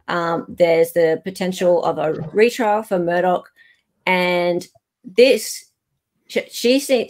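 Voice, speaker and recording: Playful woman hosting a casual live readalong. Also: on the low side. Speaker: host of a live dramatic-reading stream. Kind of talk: casual banter introducing emails.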